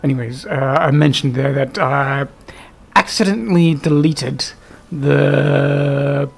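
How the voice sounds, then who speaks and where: A man's voice: medium pitch, takes it slowly, creaky, husky. A man giving casual, unscripted gameplay commentary in a hesitant, rambling way, often trailing off. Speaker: gaming video host.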